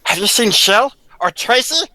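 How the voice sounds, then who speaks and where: A woman's voice: on the high side, animated, normal speed, no echo, rough recording. A woman playing a hard, defiant circus performer in a radio play, with melodramatic delivery. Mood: wary, defiant. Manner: melodramatic, sharp. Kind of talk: radio play table read.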